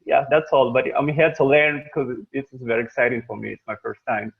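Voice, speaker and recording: A man's voice: mid-range voice, brisk, creaky, slightly rough. Eager man from Mozambique, tinny call. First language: not English. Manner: eager, repeats phrases. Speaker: new meeting participant. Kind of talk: casual self-introduction. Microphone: video call. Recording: tinny phone-like call audio.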